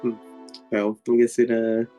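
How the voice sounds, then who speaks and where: A man playing a wary, mild-mannered adventurer in casual tabletop roleplay, talking in a hesitant, halting way. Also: medium pitch, completely flat delivery, warm tone, soft background music, natural voice.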